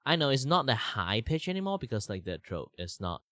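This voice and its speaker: A young man from Malaysia, slightly sick with a rough throat, chatting casually and rambling on his art stream with many fillers and restarts. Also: medium pitch, sing-song, fairly quick, a bit bright, no room sound, studio quality.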